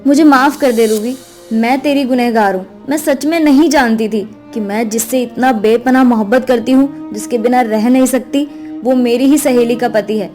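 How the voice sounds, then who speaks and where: A woman's voice: on the high side, moderate pace, a little mellow, faint music behind, natural voice. A woman playing a lovesick young second wife in a cartoon story, pleading earnestly. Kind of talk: Hindi cartoon story acting.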